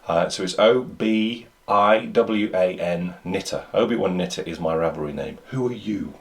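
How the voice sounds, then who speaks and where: A man's voice: sits low, moderate pace. A man co-hosting a casual home chat show, joking and teasing his co-host.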